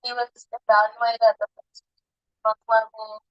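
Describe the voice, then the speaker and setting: A woman's voice: fairly high pitch, flat delivery, measured pace, dry recording, lo-fi sound. Woman presenting casually over a dry call. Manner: casual, with frequent ums and uhs. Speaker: student presenter. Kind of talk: class presentation. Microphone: video call.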